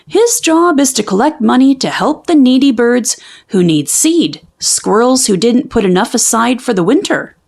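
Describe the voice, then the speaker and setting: A woman playing a kindly, reassuring father bear who gently explains things in a children's storybook. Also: a little high, big pitch swings, normal speed, creaky, heavily acted. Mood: explaining.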